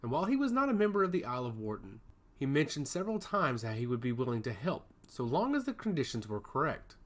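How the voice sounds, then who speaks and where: A man's voice: medium pitch, big pitch swings, fast talker. A man reading a scripted fantasy story aloud as its narrator. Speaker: audiobook narrator.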